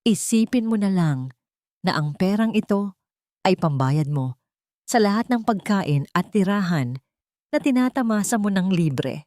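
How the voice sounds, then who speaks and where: A woman's voice: mid-range voice, lively intonation, unhurried, long pauses, a little mellow, no room sound, clean studio sound, natural voice. A woman voicing a contemptuous, domineering older mother-in-law in a drama story, haughty and scolding. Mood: menacing, contemptuous.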